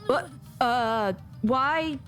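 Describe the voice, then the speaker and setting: A woman's voice: high voice, drawn-out words, a bit bright. A woman giving casual, chatty live commentary as a game streamer, with playful asides. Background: faint game music.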